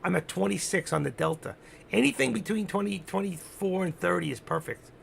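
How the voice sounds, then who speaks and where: A man with a slightly high but very gravelly, creaky voice gives live, off-the-cuff trading commentary. He sounds hoarse, as if his voice is wearing out. He talks through his thinking and instructs viewers repetitively, with faint noise in the background. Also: a little fast, a bit bright. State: voice giving out, hoarse. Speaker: live stock-trading streamer.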